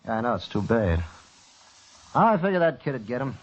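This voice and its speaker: An actor playing a shifty, defensive saloon gambler in a radio western, with a folksy, evasive manner. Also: male voice, mid-range voice, big pitch swings, moderate pace. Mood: casual, guarded. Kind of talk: old-time radio western acting. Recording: dull, noisy old radio broadcast recording.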